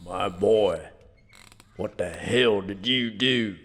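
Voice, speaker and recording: Threatening old gang boss male actor, western audio drama. Low, drawling pace, gruff, foul-mouthed, commanding. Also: slow, fairly bright tone, heavily acted. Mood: indignant. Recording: clear background ambience noise.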